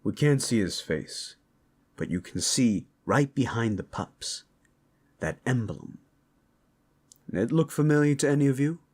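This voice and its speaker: A man's voice: medium pitch, expressive, a little slow, pauses often, darkish tone, breathy edge. Guy doing chill werewolf buddy, fantasy audio story, casual talk, voice moves around a lot. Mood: curious. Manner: casual, conversational. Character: easygoing werewolf companion in a fantasy band. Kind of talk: fantasy audio drama acting.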